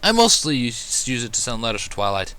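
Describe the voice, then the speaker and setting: A man voicing a cheerful, excitable little boy dragon in a fan fiction reading, with eager, childlike, casual slangy talk. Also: mid-range voice, a little fast, slight background noise. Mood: proud, happy.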